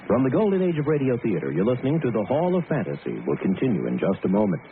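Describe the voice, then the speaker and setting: A man's voice: mid-range voice, wildly swooping pitch, quick pace. A man announcing a radio programme in scripted continuity lines. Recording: noisy narrow-band radio broadcast.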